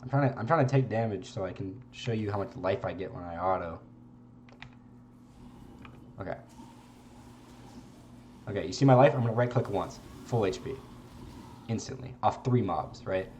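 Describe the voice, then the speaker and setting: A congested young man talks through a video game build in a loose, rambling way, swearing now and then. His voice sits low, and faint room sound is behind him. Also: moderate pace, long pauses, slight background noise. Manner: relaxed, rambling, swears casually. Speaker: game streamer. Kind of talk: casual gameplay commentary.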